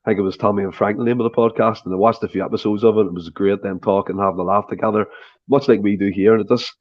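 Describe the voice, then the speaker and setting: Hoarse Scottish podcast host. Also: male voice, on the low side, fairly even intonation, rapid-fire, dull audio. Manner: chatty, leads the talk with questions. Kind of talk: casual podcast chat. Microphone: remote call setup.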